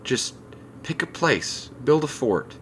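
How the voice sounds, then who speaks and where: A man's voice: medium pitch, very animated, slow pace, a crowd in the background. A man on a gaming podcast, chatting casually and giving his opinions. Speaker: podcast guest or panelist.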